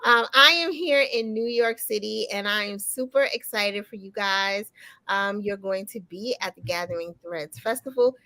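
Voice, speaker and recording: A woman's voice: medium pitch, moderate pace, bright, forceful tone, no room sound, rough recording. A woman hosting a festival livestream over a video call, bubbly and enthusiastic. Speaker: festival host. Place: New York City.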